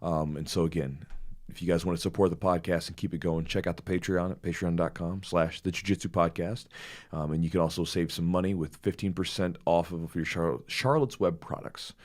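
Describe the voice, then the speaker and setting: Slightly hoarse male podcast host, very deep voice, loose rambling delivery. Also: a little fast. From Louisville, Kentucky. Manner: rambling, animated storytelling with many fillers. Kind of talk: casual podcast chat.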